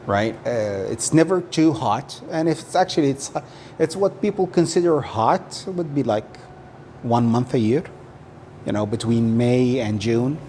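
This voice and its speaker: A man from Saudi Arabia, a native Arabic speaker, answering casually in an interview and often pausing and repeating words as he explains. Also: medium pitch, expressive, dark tone, slight background noise. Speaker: interview guest.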